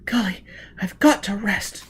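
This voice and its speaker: Male reader as desperate young female fugitive; lifted, whispery pitch, worn out and pleading, for adventure audiobook. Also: a bit bright, heavily acted. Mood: frightened, pleading. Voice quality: breathy, whispery, high-lifted. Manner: anxious, halting, stammering when overwrought. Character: frightened, exhausted young woman fugitive, pleading and hysterical.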